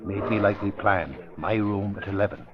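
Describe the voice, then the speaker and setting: An actor playing a blustery, excitable middle-aged male reporter in a vintage radio drama. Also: fairly low pitch, moderate pace, lightly acted. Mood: conspiratorial. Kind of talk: 1940s radio acting. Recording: muffled vintage radio recording with faint hiss.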